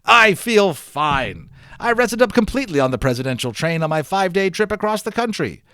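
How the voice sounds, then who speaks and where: A man's voice: high-pitched, sing-song, brisk, sharp tone, clear, resonant tone, dry recording, studio quality, voice pushed up, exaggerated voice. A man voicing an exuberant, proud professor and balloon adventurer in a storybook, declaiming theatrically as if to a crowd. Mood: hearty, delighted. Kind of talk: dramatic storybook read-aloud.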